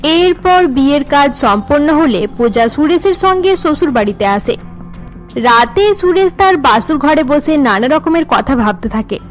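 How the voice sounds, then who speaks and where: Woman narrator for a cartoon tale, high voice, scripted storytelling, soft music behind. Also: animated, unhurried.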